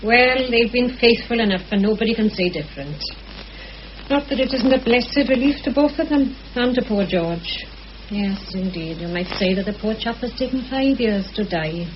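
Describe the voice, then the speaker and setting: Motherly, chatty housekeeper played by a woman for radio drama; animated intonation, muffled hissy old recording. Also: fairly high pitch, normal speed, natural voice. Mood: sympathetic. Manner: homely, fussing, chatty. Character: motherly, bustling housekeeper, kindly and talkative. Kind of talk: vintage radio play acting.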